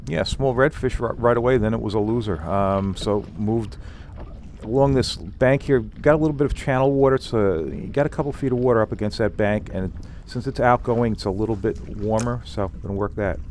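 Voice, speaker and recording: A lowish-voiced man talks through his fishing day from a kayak, easygoing and chatty, often restarting his sentences. He is recorded outdoors on open water by an action camera mounted on the boat, with a faint hiss of wind and water behind him. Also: brisk. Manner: relaxed, thinking aloud with fillers and restarts. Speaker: fishing vlogger. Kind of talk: casual narration while fishing.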